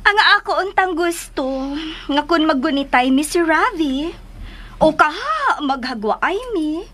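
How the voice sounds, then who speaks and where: A woman's voice: high-pitched, lively intonation, measured pace, a bit bright. An actress playing an assertive, haughty young woman in a radio drama, with a pointed, demanding way of talking. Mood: pointed, insistent.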